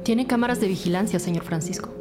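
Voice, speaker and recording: A woman's voice: medium pitch, quick pace, over music, close to an everyday voice. A woman playing a methodical, level-headed police detective in an audio drama. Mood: calm, professional.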